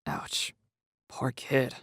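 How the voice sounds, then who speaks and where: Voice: very slow, a bit bright, no room sound, whispered. A narrator reading a dramatised first-person story in a scripted style. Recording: dry, music behind. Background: background music.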